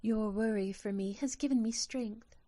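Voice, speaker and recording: A woman playing a delicate glass sylph in an audio drama, speaking in a formal, measured, matter-of-fact way. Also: a little high, fairly even intonation, dark tone, close to an everyday voice. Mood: calm, matter-of-fact. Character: delicate glowing female glass sylph, calm and earnest.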